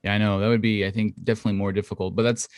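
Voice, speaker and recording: A man's voice: little pitch movement, racing, darkish tone, dry recording. A man co-hosting a casual podcast, talking at length with lots of fillers into a home condenser mic. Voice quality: thinks his voice is high-pitched. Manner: rambling, filler-heavy, explains at length. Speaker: podcast co-host. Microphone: studio condenser mic at home.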